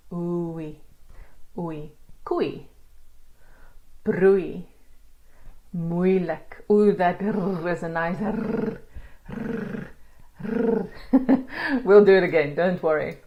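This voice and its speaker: A woman with a South African accent, teaching pronunciation in a casual spoken lesson and sounding out words slowly. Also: lowish voice, darkish tone, muffled recording. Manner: demonstrates sounds slowly, laughs at herself. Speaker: language teacher.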